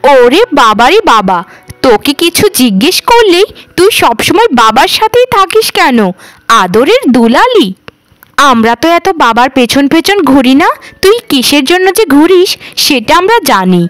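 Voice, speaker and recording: Woman as a shrill-pitched, nagging jealous middle sister. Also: sing-song, normal speed, no echo, soft background music. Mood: scornful. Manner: nagging, sarcastic. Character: jealous, sharp-tongued middle landlord's daughter. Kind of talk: cartoon voice acting.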